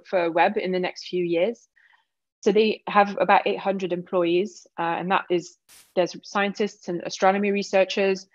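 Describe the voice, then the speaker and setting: A woman in her thirties giving a relaxed seminar talk over a video call, with many fillers. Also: on the low side, no echo, lo-fi sound. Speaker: guest speaker.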